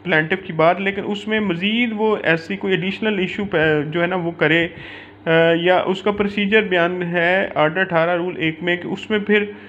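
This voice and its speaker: A man's voice: sits high, steady tone, unhurried, fairly bright tone. A man with a Pakistani accent giving an informal explanatory law lecture, dropping in English legal terms and frequent filler phrases. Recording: dull lo-fi audio, clear background noise.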